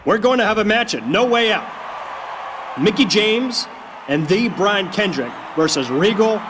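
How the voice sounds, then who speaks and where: High male voice, stern wrestling boss, emphatic, animated intonation, video game, noisy background. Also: measured pace. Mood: stern, fed up. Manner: emphatic, authoritative. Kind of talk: dramatic announcement in a video game.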